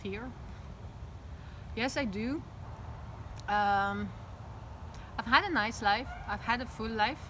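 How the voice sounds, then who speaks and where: A woman's voice: a little high, slow pace, pauses often, sharp tone. Reflective woman outdoors. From Belgium. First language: French. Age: 30s. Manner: reflective, open and talkative. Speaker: interviewee. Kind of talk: candid personal interview. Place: outdoors in front of her house. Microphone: phone camera. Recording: outdoor phone recording, traffic noise.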